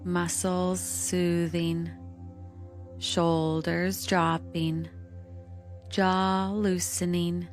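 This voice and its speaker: Slow, low-pitched woman guiding meditation. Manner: measured instructional phrasing with lingering pauses. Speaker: guided meditation narrator. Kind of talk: scripted guided meditation, soothing hypnotic delivery. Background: calming meditation music.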